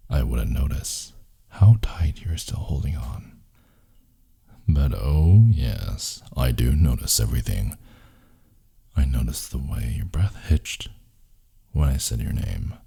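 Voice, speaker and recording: Man acting a very deep, gravelly, brooding boyfriend. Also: heavily acted. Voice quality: very deep gravelly husky voice, often whispering. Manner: slow, hushed, intimate murmuring. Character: brooding, possessive, lovelorn young boyfriend. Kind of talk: intimate ASMR boyfriend roleplay audio.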